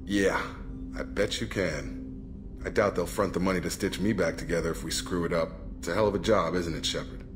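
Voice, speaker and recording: A world-weary male alien soldier, voiced by a man in a game. He speaks in a deep voice with wry, easygoing dryness while music plays clearly behind him. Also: fairly quick, close to an everyday voice. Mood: wry, reflective. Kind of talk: video game character acting.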